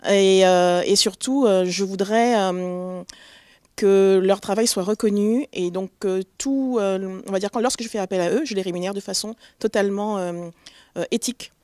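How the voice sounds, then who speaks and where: Woman from togo, interview guest, halting speech full of fillers, dry close sound. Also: mid-range voice, no echo. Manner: hesitant, many fillers, warm and proud. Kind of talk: interview answers.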